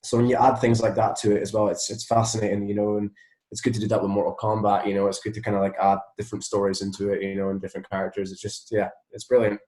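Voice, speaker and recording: A man's voice: fairly low pitch, level tone, fast, darkish tone. A Scottish man chatting casually as an interview guest, rambling appreciatively with lots of "you know", in a roomy, echoing recording. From Scotland. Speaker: interview guest, musician and voice actor.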